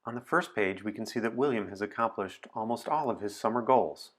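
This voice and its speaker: A man's voice: on the low side, a little fast, husky. A middle-aged man, a children's book author, talking and reading in a storytime style with simple explanations and questions to his listeners.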